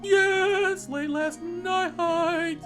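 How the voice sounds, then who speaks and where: A man's voice: very high-pitched, fairly even intonation, very slow, over music, voice pushed up, heavily acted. A man voicing a proud, melodramatic and bitter male attorney from a video game, in a pompous, theatrical manner. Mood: wounded, dramatic. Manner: pompous, theatrical, strained outbursts. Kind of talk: video game character read-aloud.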